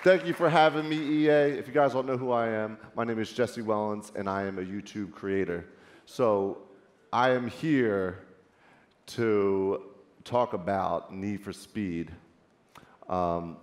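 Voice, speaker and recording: A man's voice: fairly low pitch, a little slow. Laid-back man introducing himself onstage. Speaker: stage presenter. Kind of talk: live conference stage presentation.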